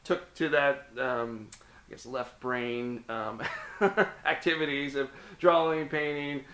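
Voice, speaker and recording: A man's voice: mid-range voice, fairly even intonation, takes it slowly, fairly bright tone. Middle-aged Midwestern man, interview guest, hesitant, ums and restarts, recorded in an echoey room. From Cincinnati, Ohio (US Midwest). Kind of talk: casual interview, telling his life story.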